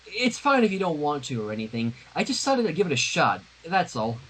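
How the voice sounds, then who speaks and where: A man plays a shy teenage girl in glasses, lovestruck and awkward, from a fan story read aloud. The voice is husky and halting, with a self-deprecating way of talking. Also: on the high side, big pitch swings, a bit bright, heavily acted, low-quality recording. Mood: hurt, resigned.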